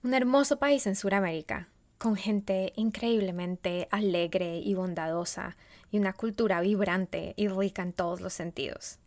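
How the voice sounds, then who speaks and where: A woman from Colombia with a Colombian accent, a leadership coach hosting a short podcast, reading a calm, reflective monologue that puts coaching questions to the listener. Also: medium pitch, a little slow. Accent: Colombian Spanish.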